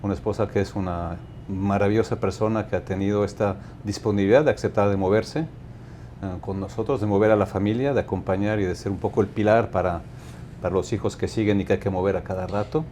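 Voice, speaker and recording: A man in his fifties from Mexico, a company director answering interview questions in a measured, thoughtful way, often restarting his sentences. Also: on the low side, fairly even intonation, mellow, slight background noise. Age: 50s.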